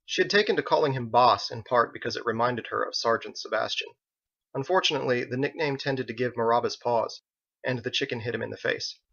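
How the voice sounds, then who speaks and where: A man's voice: mid-range voice, fairly quick, creaky, pushed and bright. Man narrating fantasy, slightly dull sound. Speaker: author reading own audiobook. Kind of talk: expressive scripted narration of a fantasy novel.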